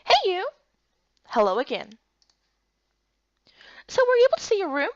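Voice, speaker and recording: Squeaky, bubbly young game character. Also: female voice, huge pitch swings, dry recording, dull audio, exaggerated voice. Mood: excited, concerned. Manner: bubbly, rapid questions. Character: excitable, chatty, kind-hearted young fantasy game character.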